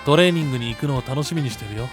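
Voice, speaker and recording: A man playing a kind, perceptive high school boy who gently teases and reassures, in an anime-style voice drama. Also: racing, music in the background, lightly acted. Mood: warm, modest.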